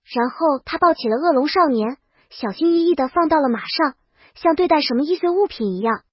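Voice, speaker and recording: A woman's voice: high-pitched, expressive, brisk, dry recording, highs cut off. A woman reading a comic first-person story as a scripted audiobook narration.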